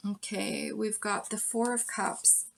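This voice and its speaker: A woman's voice: medium pitch, flat delivery, takes it slowly, vocal fry, slight background noise, poor audio. A woman giving a pick-a-card tarot reading, speaking straight to the viewer in a coaching tone with frequent ums. She is losing her voice. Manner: warm direct address with many ums, coaching tone.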